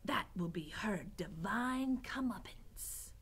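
A sinister, suave storybook rat played by a woman reader speaks in a hushed, breathy whisper. He is mock-polite and condescending, choosing formal words with sly care. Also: medium pitch, very animated, measured pace, heavily acted. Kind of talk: storybook character voice.

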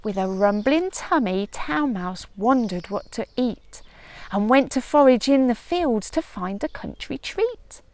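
Female early years reader for picture books: expressive, slow-paced, fairly high pitch. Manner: expressive, measured storytelling. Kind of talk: children's storybook read-aloud. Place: outdoors in a leafy woodland garden.

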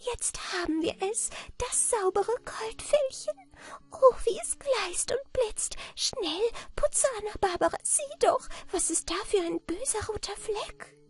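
A woman voicing a tiny old little man from a fairy tale, with a thin, squeaky, plaintive voice. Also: expressive, a little slow, soft background music. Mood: excited.

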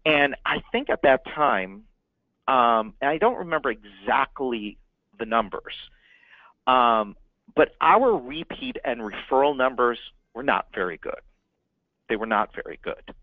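A man's voice: medium pitch, expressive, a little slow, no room sound, phone-quality sound. A man giving a business marketing lesson as a consultant, speaking emphatically and repeating words for stress. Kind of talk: business training talk.

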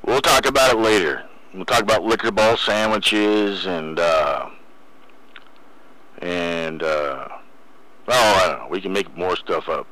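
A man's voice: sits low, sharp tone, poor audio. A man bantering casually in slang as a CB radio operator, heard over the radio. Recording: CB radio transmission.

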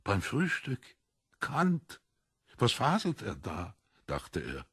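Male narrator for literary audiobook: expressive, animated range, very husky tone. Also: fairly low pitch, slow, no room sound, studio quality.

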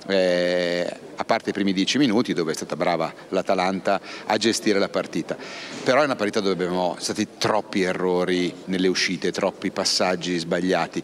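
A man interviewing a football coach for club TV after a match, asking long, rambling questions. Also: deep voice.

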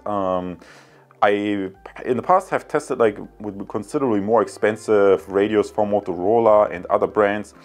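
Chatty man unboxing gadgets, low voice. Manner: chatty, addresses viewers as guys, many fillers. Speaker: tech reviewer. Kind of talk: casual product unboxing. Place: indoor room with wooden table.

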